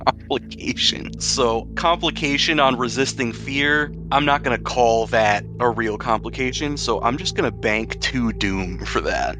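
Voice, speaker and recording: A man's voice: medium pitch, unhurried, few pauses, over music. A man acting as game master in a tabletop role-playing game, explaining rules and describing scenes.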